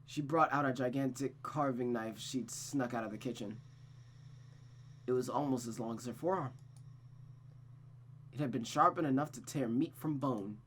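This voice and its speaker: A woman's voice: rumbling low, normal speed, pauses often, slight background noise. A woman streaming a visual novel, moving between story narration and casual commentary. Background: music.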